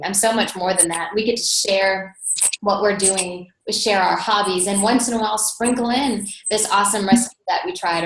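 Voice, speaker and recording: A woman's voice: medium pitch, a little hiss or hum. An American woman from North Dakota hosting an informal webinar, chatty and enthusiastic, recorded indoors over an online call. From North Dakota, USA. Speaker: webinar host and team coach. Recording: roomy echo.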